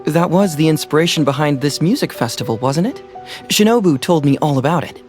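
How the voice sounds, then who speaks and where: Guy playing cocky playful young detective in a game, light teasing tone, really animated, music behind him. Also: fairly high pitch, fairly quick, lightly acted. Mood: intrigued. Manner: teasing, smug, airy, chuckling. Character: breezy, playful, self-assured young male detective. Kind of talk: video game voice acting.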